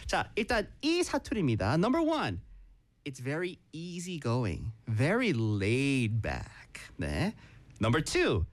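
A man co-hosting a live stream, speaking Korean and switching into slow, clear English to explain things to viewers. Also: mid-range voice, wildly swooping pitch. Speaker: co-host teaching English.

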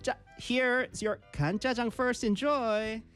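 A woman's voice: a little high, steady tone, very slow, clear, resonant tone, faint music behind, pitched above the performer's own voice. A woman playing a friendly restaurant owner who greets and serves a customer. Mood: welcoming.

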